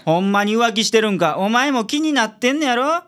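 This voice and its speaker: A woman's voice: on the high side, animated, fairly quick, fairly bright tone. A woman playing a weary, blunt middle-aged male detective with a Kansai accent in a community radio drama, talking in a dry, exasperated way. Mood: tired.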